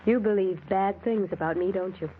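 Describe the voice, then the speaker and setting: An actress playing a mysterious, secretive woman who pleads in a measured, deliberate way in an old-time radio mystery drama. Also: mid-range voice, narrow, tinny audio, lightly acted. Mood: entreating, calm. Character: mysterious veiled woman, softly entreating, secretive. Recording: noisy, band-limited old radio recording.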